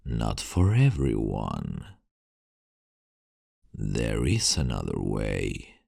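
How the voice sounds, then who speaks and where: A man playing a mysterious, courteous, brooding vampire in an ASMR roleplay, speaking in formal, deliberate phrases with a deep, gravelly voice. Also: big pitch swings, darkish tone, no room sound, clean studio sound, exaggerated voice. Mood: wistful, ominous. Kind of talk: ASMR roleplay, slow scripted monologue.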